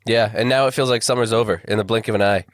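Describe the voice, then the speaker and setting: A man's voice: fairly low pitch, steady tone, fast, dry recording, studio quality. A young man hosting a music podcast, speaking casually and with easy self-deprecation while fighting off a bad cold. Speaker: podcast host.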